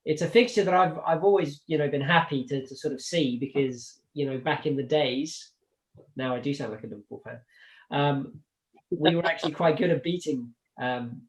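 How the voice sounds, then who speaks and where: A man hosting a casual football chat, relaxed and chatty. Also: on the high side, moderate pace, rough recording. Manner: relaxed, chatty, sighs and laughs. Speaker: podcast host.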